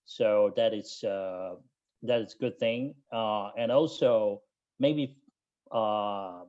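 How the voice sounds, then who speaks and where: A man in his thirties with a Taiwanese accent and a low voice, talking things through in a relaxed interview. He thinks aloud, pausing and restarting as he goes. The audio comes through a video call and sounds dull and muffled. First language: not English. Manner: thoughtful, with frequent fillers and restarts. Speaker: guest, MBA alumnus. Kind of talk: casual interview chat.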